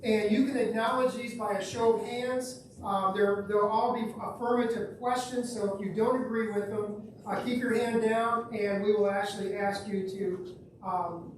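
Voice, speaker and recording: Man, forum moderator, casual and halting, far from mic, echoey hall, faint noise. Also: high-pitched.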